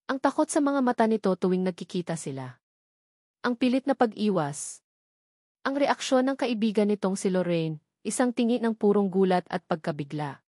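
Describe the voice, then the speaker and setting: A woman's voice: medium pitch, a little mellow, no room sound, studio quality. A woman giving scripted, dramatic narration of a story as its narrator.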